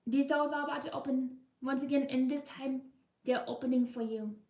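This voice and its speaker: An ominous spooky ride announcer, recited by a woman in a slow, solemn way, with a high voice. The sound is roomy and echoey, picked up from a mic some distance away. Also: steady tone, dark tone. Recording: roomy echo, mic far off.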